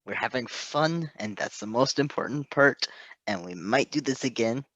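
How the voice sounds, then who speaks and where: A man's voice: mid-range voice, lively intonation, normal speed, sharp tone, no room sound, dull audio. A man hosting in a casual chat style, enthusiastic and prone to rambling. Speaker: host.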